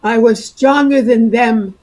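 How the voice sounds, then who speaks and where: A woman's voice: a little high, steady tone, slow pace, warm tone. A woman voicing a declaiming refugee in a chorus. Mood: declamatory. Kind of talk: amateur stage play acting. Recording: echoey stage with faint music.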